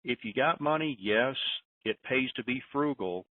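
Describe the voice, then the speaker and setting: A man's voice: medium pitch, animated, moderate pace, no echo. A man hosting a call-in conference, talking informally in a folksy way and handing out advice. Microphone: telephone conference line. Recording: muffled phone line, distant mic.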